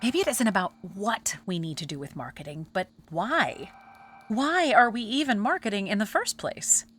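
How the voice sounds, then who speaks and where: An actress playing a sharp, skeptical saleswoman in a theatrical audio musical, dismissive and challenging in manner. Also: medium pitch, sing-song, normal speed. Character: sharp, skeptical saleswoman sparring with a marketing colleague. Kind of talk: theatrical musical acting, spoken and sung.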